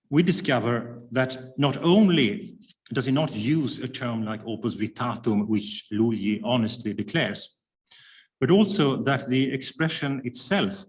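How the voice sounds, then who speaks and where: Man giving a measured lecture. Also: mid-range voice. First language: Swedish. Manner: measured and careful, with frequent hesitation fillers. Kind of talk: academic lecture read from a prepared paper.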